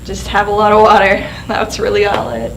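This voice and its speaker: A woman's voice: mid-range voice, lively intonation, fairly quick. A young adult woman, a singer-songwriter guest, talking easygoing in a casual interview. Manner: easygoing, lots of "like" fillers. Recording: noisy room ambience.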